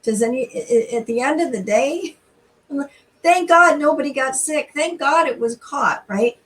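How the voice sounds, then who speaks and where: A middle-aged woman hosting a church storytime, talking informally and reading aloud in an expressive, conversational way straight to her listeners, recorded slightly away from the mic. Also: high-pitched, moderate pace, far from the mic, rough recording.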